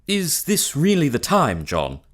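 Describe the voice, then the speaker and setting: An actor playing a mild, evasive, faithless gentleman lover in an audio melodrama, polite and hesitant. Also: on the high side, huge pitch swings, measured pace, heavily acted. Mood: awkward, apologetic. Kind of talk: audio drama acting, gothic melodrama.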